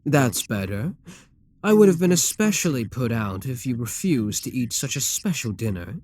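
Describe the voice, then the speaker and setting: A man performs a proud demon king for an ASMR roleplay. His voice sits at a medium male pitch and moves through wide, lively swings of intonation. He speaks with courtly, theatrical grandeur, imperious and seductive. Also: moderate pace, no room sound. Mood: indignant, haughty.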